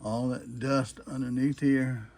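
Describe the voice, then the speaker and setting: A man with a husky voice gives a casual, unscripted demonstration, explaining things in a plain-spoken, halting way. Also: medium pitch, little pitch movement, darkish tone. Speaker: presenter showing a restored old radio.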